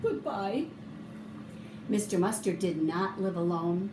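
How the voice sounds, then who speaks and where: A woman reading a children's picture book aloud, with expressive, drawn-out emphasis for young listeners. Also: medium pitch. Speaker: storybook reader. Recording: roomy echo, faint background noise.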